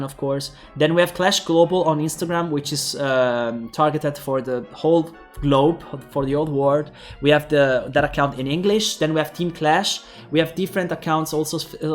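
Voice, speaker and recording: Young Italian-accented man casting esports in English, slightly high voice, rambling with fillers, faint music behind. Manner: rambling, with frequent fillers and restarts. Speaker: esports caster. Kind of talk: esports commentary and sign-off.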